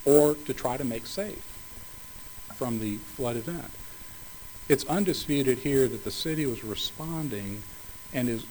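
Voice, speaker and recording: Measured man arguing a legal case. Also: medium pitch, darkish tone, a little hiss or hum, poor audio. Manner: measured, formal legal phrasing with occasional restarts. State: slightly hoarse, coughing. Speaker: attorney arguing for a city. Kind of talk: appellate oral argument.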